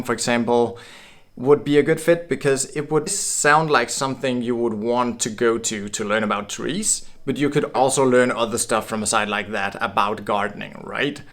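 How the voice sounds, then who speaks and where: A man's voice: medium pitch, fairly bright tone. A man from Denmark giving a casual, upbeat explainer to camera, chatty and chuckling now and then. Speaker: blogging course creator.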